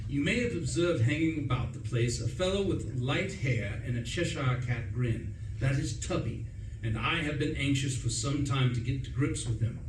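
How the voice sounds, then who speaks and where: A man playing a chatty, pompous young English gentleman who narrates a comic radio play in a breezy, wordy way. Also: mid-range voice, expressive, moderate pace, close to an everyday voice. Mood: indignant. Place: live stage performance in a room. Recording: roomy, distant mic, faint noise.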